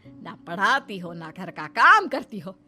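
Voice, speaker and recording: A woman's voice: mid-range voice, very animated, unhurried, pushed and bright, voice pushed down, exaggerated voice. A woman voicing a nagging, boastful older mother-in-law in a cartoon story, scolding and taunting with dramatic exclamations.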